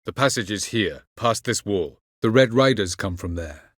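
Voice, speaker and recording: Low-voiced, haughty elven sage. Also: male voice, lively intonation, dry recording, studio quality. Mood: urgent, commanding. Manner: measured, condescending, formal archaic phrasing. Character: haughty, cryptic elven sage, a cold male mage. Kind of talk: video game character dialogue.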